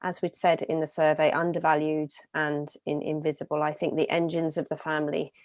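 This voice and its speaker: A woman's voice: low voice, little pitch movement, a little fast, a little mellow, dry recording. A woman hosting an event, giving upbeat closing remarks with frequent ums. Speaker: event host.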